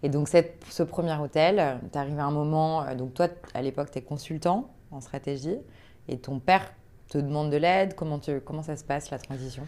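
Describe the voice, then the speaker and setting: A woman's voice: sits low, moderate pace, darkish tone. A woman hosting an interview podcast in a casual, informal and encouraging conversational way.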